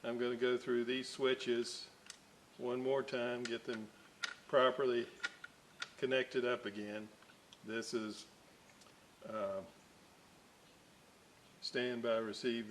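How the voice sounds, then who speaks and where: A man's voice: medium pitch, a little hiss or hum. A man casually narrating his radio restoration work at an electronics workbench, rambling with many fillers and side stories. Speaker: electronics restoration hobbyist host.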